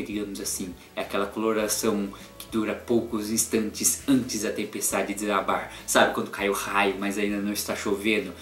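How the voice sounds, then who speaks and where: A man hosting a comedic art history lesson in a theatrical way, jumping between loud sarcastic outbursts and hushed musing. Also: lowish voice, reverberant. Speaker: art history channel host. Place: in his room.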